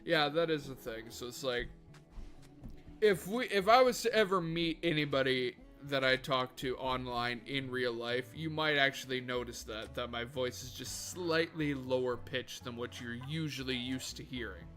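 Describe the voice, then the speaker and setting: A man casually chatting to viewers as he plays a game on a livestream. His voice is bright and forceful, his talk loose and rambling, and he swears freely. Quiet music plays underneath. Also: mid-range voice, normal speed. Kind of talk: casual gaming livestream chat. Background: faint background music.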